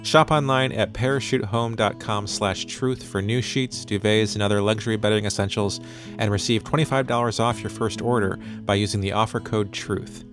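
Relaxed, low-voiced podcast host. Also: male voice, normal speed, over music. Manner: relaxed, conversational, with light hesitations. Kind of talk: chatty intro and ad reads.

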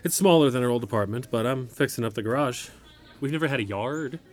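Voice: medium pitch, quick pace, natural voice. An actor in an audio comedy-drama playing an earnest, quirky, chatty neighbor who rambles naively and startles easily. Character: earnest, quirky, chatty neighbor accompanying an injured older woman.

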